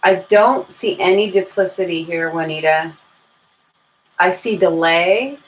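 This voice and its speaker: A woman's voice: on the low side, unhurried, rough recording. An American woman hosting a live tarot reading, chatting informally to her viewers and thinking aloud as she goes. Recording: clear background noise and room ambience.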